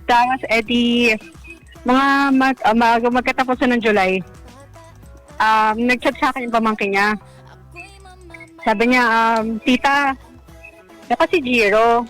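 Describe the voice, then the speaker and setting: A woman's voice: on the high side, fairly even intonation, measured pace, pauses often, a bit bright, faint music behind, rough recording. A Filipino woman calling a radio show, telling a personal story in a casual, hesitant, self-deprecating way. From Philippines.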